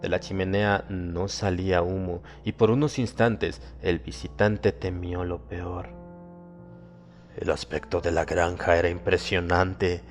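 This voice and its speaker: A man narrating a horror audiobook in a dramatic, solemn and suspenseful manner, with deliberate phrasing. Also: deep voice, level tone. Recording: long reverb, music behind. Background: music.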